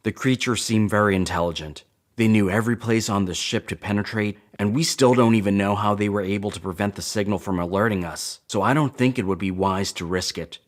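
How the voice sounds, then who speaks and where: A cautious, doubting male crew officer, played by an actor in a sci-fi audio drama. He has a fairly low voice and challenges things bluntly. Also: fairly quick, natural voice. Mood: skeptical.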